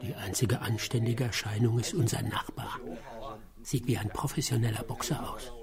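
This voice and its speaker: A man acting a wary imperial adjutant in old China, working undercover. The voice is middling in pitch with a gritty creak. He speaks in a measured, observant way for radio drama, with music behind. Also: steady tone, natural voice. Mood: dry, wary.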